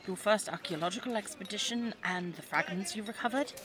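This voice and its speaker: A woman's voice: mid-range voice, fairly quick, background noise, close to an everyday voice. A woman playing a spirited, teasing young English lady of the 1920s in an audio drama, chatty and well-spoken with an English accent. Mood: teasing excitement. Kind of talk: audio roleplay drama, addressed to the listener.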